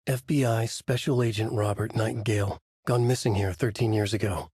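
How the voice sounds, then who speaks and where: A haunted male horror writer narrates a video game's story in a measured, brooding way. His voice sits low and has a husky edge. It was recorded dry in a studio booth. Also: fairly even intonation, darkish tone, no room sound. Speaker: video game character narrating. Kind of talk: game voice lines, dark narration. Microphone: studio mic.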